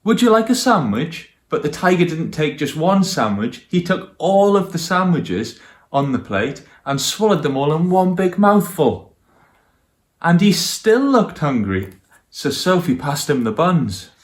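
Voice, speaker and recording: A man's voice: a little high, very animated, measured pace. A man reading stories for young children and speaking to them directly as a guest storyteller.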